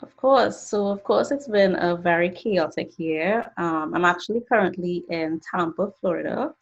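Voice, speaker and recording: A woman's voice: lowish voice, moderate pace, gravelly. A woman in her twenties from Trinidad and Tobago, giving an earnest, explanatory talk about her work over a video call from her home studio. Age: 20s. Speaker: guest artist. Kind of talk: presentation talk. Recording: dull lo-fi call audio.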